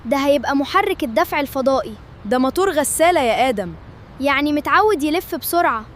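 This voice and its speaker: Very high-voiced Egyptian cartoon kid. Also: female voice, lively intonation, quick pace. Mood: playful. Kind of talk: comic cartoon dialogue. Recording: music behind the voice.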